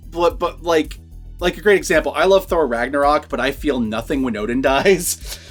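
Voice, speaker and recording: A man with a slightly high voice talks through a film review in a casual, conversational way. He swears freely and repeats words for emphasis. Music plays behind him. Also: moderate pace. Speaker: movie reviewer.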